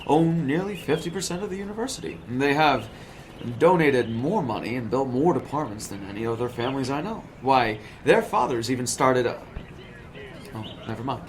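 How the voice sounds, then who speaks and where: A man's voice: medium pitch, lively intonation, moderate pace, slight background noise, natural voice, poor audio. A man playing a fussy, evasive professor. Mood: nervous. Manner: polished, wordy and lecturing, with hesitant fillers. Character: smooth, fussy male college professor, nervous and evasive. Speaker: psychology professor.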